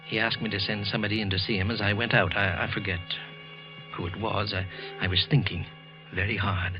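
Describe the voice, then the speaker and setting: A man's voice: lowish voice, level tone, a little fast, natural voice. A man acting a nervous, resentful clerk who secretly killed, with a hesitant, evasive manner, in an old-time radio suspense play. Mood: tense, brooding. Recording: muffled vintage radio recording, background music.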